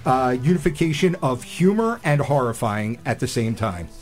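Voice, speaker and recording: Man, average pitch, podcast panelist, casual conversation. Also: animated, normal speed, a little mellow, faint music behind. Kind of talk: casual chat.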